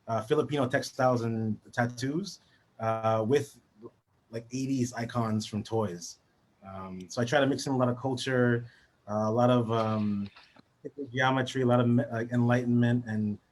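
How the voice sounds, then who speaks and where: A man's voice: mid-range voice, steady tone, normal speed. A man from the Bay Area in California chatting easygoingly as a guest on a talk show. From San Francisco Bay Area, California. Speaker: guest, mural painter and collector. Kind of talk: casual interview chat.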